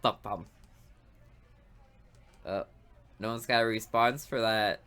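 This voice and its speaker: Bright-voiced woman reading a game aloud. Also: extremely low pitch, steady tone, slow, clean tone. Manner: playful, joking asides between read lines. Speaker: let's play host reading a visual novel aloud.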